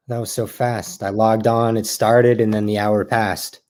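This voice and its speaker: An awkward, eager young adult, performed by an actor in a streamed play. The voice is medium in pitch, with a hesitant, rambling, conversational delivery. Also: flat delivery, unhurried, a little mellow, close to an everyday voice. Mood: awkward, friendly. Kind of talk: naturalistic play dialogue over video call.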